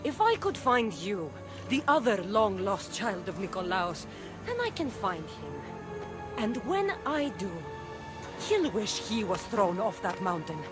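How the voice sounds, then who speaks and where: Scornful, menacing cult warrior, lively inflection. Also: female voice, medium pitch, measured pace, music in the background. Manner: contemptuous, threatening, taunting. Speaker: video game actor. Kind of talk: dramatic game cutscene acting.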